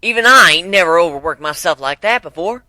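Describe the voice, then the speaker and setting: A tough female farm pony with a blunt, folksy manner, acted out by a man. The voice is raised high and pushed hard, bright and forceful. It belongs in a cartoon fan story. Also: animated, a little fast, no echo, heavily acted. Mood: scolding.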